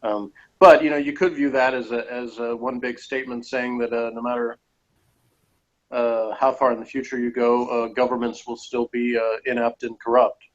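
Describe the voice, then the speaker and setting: A man with a mid-pitched voice talks casually as a co-reviewer. His sentences are tentative and meander along. The sound is dull and lo-fi, as on an online call. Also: little pitch movement, normal speed. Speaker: co-host or guest reviewer.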